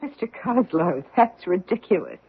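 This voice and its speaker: An actress playing a tense, resolute wife in an old-time radio drama, guarded and earnest. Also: female voice, medium pitch, sing-song, normal speed, husky. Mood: tense, insistent. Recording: tinny, band-limited vintage radio broadcast.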